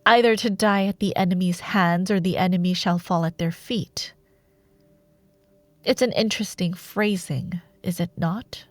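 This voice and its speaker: Woman performing a female dark warlord villain, ruthless and seductive, unhurried delivery, breathy murmurs, dry studio sound, fantasy ASMR drama. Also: medium pitch, no echo, full character voice. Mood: sly, insinuating. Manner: slow, deliberate, menacing, silky, often dropping to breathy murmurs. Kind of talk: dramatic fantasy audio roleplay monologue, ASMR.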